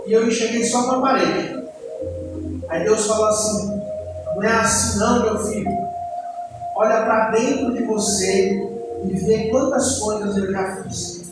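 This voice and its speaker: A man's voice: high voice, fairly even intonation, unhurried, long pauses. A man in his late twenties with a Brazilian accent, giving a personal testimony sermon as a church deacon in a conversational, storytelling way. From Ceará, northeastern Brazil. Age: late 20s. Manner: conversational storytelling, addressing the congregation. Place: church hall. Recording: echoey hall, distant mic, music behind.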